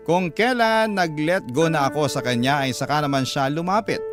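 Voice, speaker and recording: A man with a Filipino accent narrating a listener's letter as a radio drama host. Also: medium pitch. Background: music.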